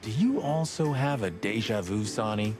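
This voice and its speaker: A man acts out a brash rooster cop in a noir game. He is casual and wisecracking, with lively intonation at middling pitch, over music. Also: moderate pace, close to an everyday voice. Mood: exasperated. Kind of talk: noir video game acting.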